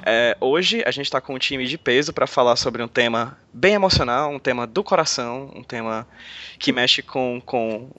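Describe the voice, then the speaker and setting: A man's voice: medium pitch, moderate pace, sharp tone, no echo, highs cut off. A man hosting a casual podcast chat, talking in a chatty way with frequent restarts. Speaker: podcast host.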